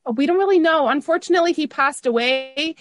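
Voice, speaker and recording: A middle-aged woman with a Canadian accent speaks in a high-pitched voice. She talks as a guest in an interview, telling personal stories. The sound is dry, with very little room in it. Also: brisk, no room sound. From Toronto area, Canada. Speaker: interview guest, university teacher.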